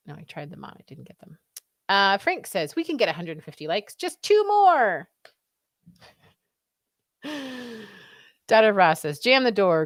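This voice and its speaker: A woman's voice: medium pitch, dry recording, clean studio sound. A woman with a scratchy voice hosting a live stream, chatting casually and reading out viewer comments. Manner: chatty, rambling, reads comments aloud. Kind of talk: casual chat.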